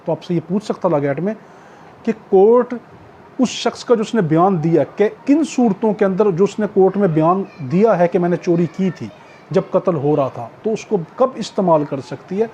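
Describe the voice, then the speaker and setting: A man's voice: high voice, lively intonation, moderate pace, mellow, slight background noise. A man with a Pakistani accent teaching an exam-prep class as a lecturer, explaining emphatically with repetition and rhetorical questions. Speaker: law teacher.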